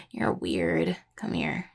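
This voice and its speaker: A woman playing a grieving, tender young necromancer in an intimate audio roleplay, speaking haltingly and apologetically to a partner. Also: on the low side, vocal fry, husky, full character voice.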